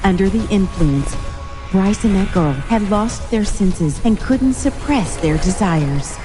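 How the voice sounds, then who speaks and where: A woman's voice: mid-range voice, lively intonation, slow, music in the background. A woman reading scripted story narration with suspenseful, dramatic phrasing.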